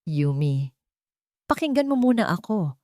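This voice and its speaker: A woman voicing a deceitful estranged husband, pleading softly and dramatically in a story. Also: lowish voice, big pitch swings, unhurried, dry recording, clean studio sound. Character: pleading, deceitful estranged husband begging to see his son.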